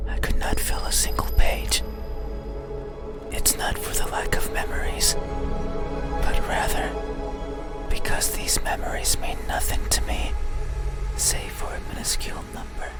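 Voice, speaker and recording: A man voicing an ageless, mysterious being in a horror visual novel, speaking a solemn, halting monologue in a breathy, voiceless whisper. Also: lightly acted. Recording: loud eerie music over whisper. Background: loud scary music.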